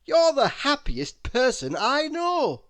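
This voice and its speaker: A woman's voice: high-pitched, wildly swooping pitch, slow pace, voice pushed up, exaggerated voice. A woman voicing a cheerful, kindly storybook man with a bouncy, emphatic delivery. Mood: concerned. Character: cheerful, kindly happy man, eager to help.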